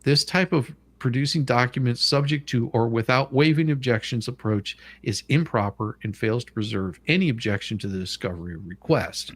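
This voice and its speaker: A man's voice: medium pitch, normal speed, hardly any pauses. A man reading legal documents aloud and adding casual, blunt commentary, sometimes stumbling over the formal wording, as co-host of a livestream.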